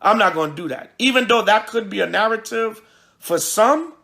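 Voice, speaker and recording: A man giving an informal preaching address as a religious leader, speaking emphatically with repetition and halting restarts. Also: high voice, expressive, gravelly, sharp tone. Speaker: priest and religious group leader. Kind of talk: livestreamed address mixing preaching and informal talk.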